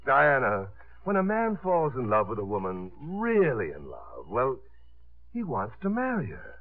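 Earnest suitor, wildly swooping voice. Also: male voice, medium pitch, wildly swooping pitch, measured pace. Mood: ardent. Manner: ardent, swooping, stammering when flustered. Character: earnest, flustered middle-aged romantic suitor. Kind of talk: 1950s radio comedy-drama acting. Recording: narrow-band 1950s radio recording.

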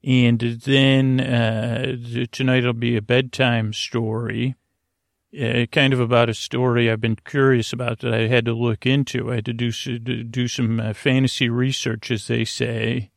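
A man hosting a podcast in a creaky voice, giving a soothing, meandering bedtime monologue with halting repeats and stretched pauses. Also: medium pitch, little pitch movement, no echo, studio quality. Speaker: podcast host.

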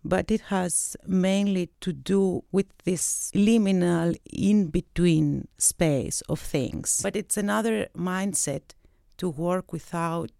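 Greek woman in her 30s, dark mellow voice, slow careful answers. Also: fairly low pitch, gravelly, no echo, clean studio sound. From Greece. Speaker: guest, research associate and PhD candidate. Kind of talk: reflective interview answers. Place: recording studio.

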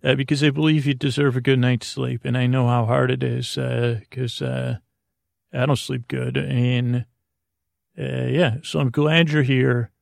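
A man hosting a bedtime podcast in a creaky voice, meandering and halting, often stammering and repeating himself in a soothing, friendly way. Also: medium pitch, level tone, no room sound, clean studio sound. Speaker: podcast host. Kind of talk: rambling bedtime monologue.

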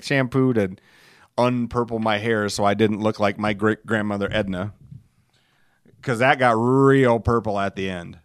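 A man's voice: lowish voice, fairly bright tone, no echo, studio quality. A middle-aged man hosting a podcast, telling casual, rambling stories in a folksy, profane, self-deprecating way. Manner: chuckles while telling stories. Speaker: podcast host.

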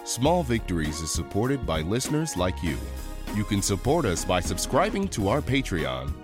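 A man's voice: lowish voice, very animated, normal speed. A man announcing scripted credits for an audio drama. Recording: prominent music behind the voice.

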